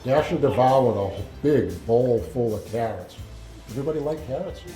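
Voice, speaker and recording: Mellow-voiced man reading aloud in echoey room. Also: medium pitch, lively intonation, moderate pace. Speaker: Santa storyteller reading to children. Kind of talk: read-aloud storytelling with asides to children. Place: indoor library room with audience. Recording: echoey room, faint music.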